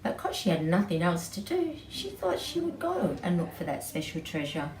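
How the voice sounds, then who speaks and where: Lady telling kids a story, lively up and down voice, bit distant, some room noise. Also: on the low side, moderate pace. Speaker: early childhood educator telling a children's story. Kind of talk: animated storytelling for young children. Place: small indoor playroom with bookshelves, seated on floor. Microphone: camera several feet away. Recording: distant mic, roomy echo, background noise.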